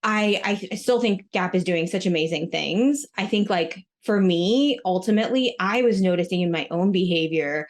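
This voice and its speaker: A woman's voice: lowish voice, normal speed, few pauses. A woman in her thirties, born in Russia and raised in Maryland, talking casually as a podcast guest with lots of ums and likes. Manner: chatty, many ums and likes. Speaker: guest, retail executive. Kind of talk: casual interview talk.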